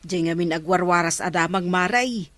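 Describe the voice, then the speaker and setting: A woman's voice: on the low side, moderate pace, fairly bright tone, no echo, lightly acted. A woman with an Ilocano accent playing a disapproving, gossipy village neighbour in a comedy drama. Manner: gossipy, reproachful.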